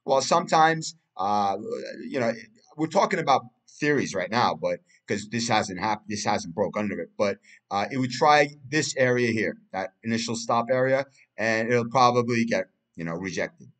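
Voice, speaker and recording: A man's voice: mid-range voice, expressive, moderate pace, fairly bright tone. A man, a Turkish speaker, talking through a price chart in casual analysis, rambling with many fillers and restarts. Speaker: crypto market analyst.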